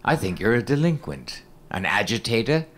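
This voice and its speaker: An officious, scornful man in a radio drama. His voice is bright and forceful, swinging wildly in pitch. He talks with florid, pompous mock courtesy. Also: very animated, moderate pace. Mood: contemptuous.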